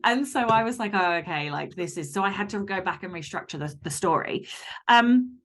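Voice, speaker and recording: A woman's voice: medium pitch, expressive, a little fast, fairly bright tone. A woman speaking as an author guest in a casual interview, explaining things in a candid, informal and detailed way. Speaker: podcast guest, fiction author.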